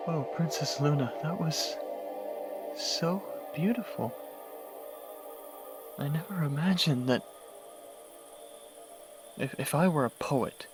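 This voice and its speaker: A man voicing a kind, deferential young unicorn student in a radio drama, earnest and hesitant. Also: medium pitch. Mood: awed. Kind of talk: fan-made radio drama acting.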